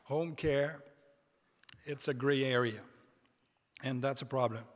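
A middle-aged man with a French Canadian accent, a native French speaker giving a conference lecture from a podium, halting with many hesitations and restarts. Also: mid-range voice, steady tone. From Quebec, Canada. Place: large conference hall stage. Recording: reverberant conference hall.

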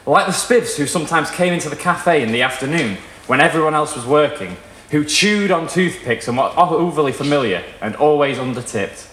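A young man reading a literary short story aloud in a measured, dramatic way. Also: on the high side, fairly bright tone, echoey room. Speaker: reader at a live short story event. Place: small indoor performance room with wood panelling.

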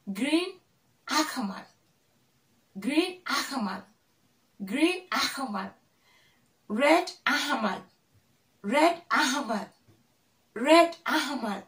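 A young woman from Ghana talking to camera in a vlog, slowly drilling words and repeating each one several times. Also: mid-range voice, fairly bright tone, recorded from a distance. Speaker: vlogger. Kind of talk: casual teaching to camera. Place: small room indoors, plain wall. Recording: echoey room, voice off-mic.

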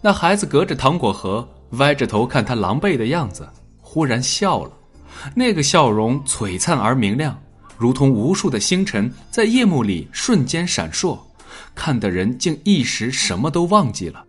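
A man narrating a scripted dramatic story in an expressive storytelling manner. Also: medium pitch, moderate pace, breathy edge, faint music behind. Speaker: audiobook narrator.

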